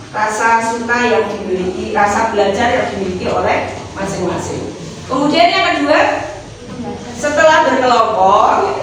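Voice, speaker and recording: Echoey, distant Indonesian woman schoolteacher. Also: fairly high pitch. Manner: explains step by step, checking understanding with short questions. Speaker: schoolteacher leading a class. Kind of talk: classroom instruction. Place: indoor school classroom. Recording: very echoey, noisy classroom, distant microphone.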